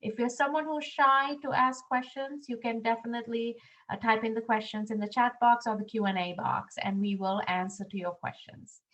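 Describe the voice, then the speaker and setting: A woman's voice: a little high, steady tone, normal speed, a bit bright, low-quality recording. A woman from Sri Lanka, an international programs director, presenting a webinar in a friendly way with many "um"s. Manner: friendly, filler-heavy speech with many "um"s.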